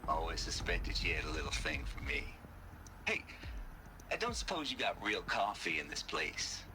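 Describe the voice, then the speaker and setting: An actor playing a cocky, folksy Texan hacker outlaw with a wry drawl in a video game cutscene. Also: male voice, low-pitched, very animated, normal speed, noisy background. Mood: wry, relaxed. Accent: American South, Texan.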